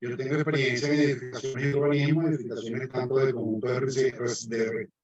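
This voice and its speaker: A man's voice: mid-range voice, level tone, fast talker, crowd noise. Echoey Venezuelan man lecturing. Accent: Venezuelan Spanish. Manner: hesitant, many fillers and restarts. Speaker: guest lecturer, civil engineer. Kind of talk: online lecture presentation. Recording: echoey distant muffled mic.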